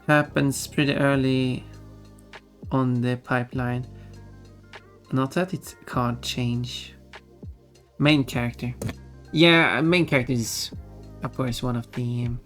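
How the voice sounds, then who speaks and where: A streamer chatting casually in a rambling, self-correcting way with giggly asides. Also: mid-range voice. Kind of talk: casual livestream chat. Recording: music behind the voice. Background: background music.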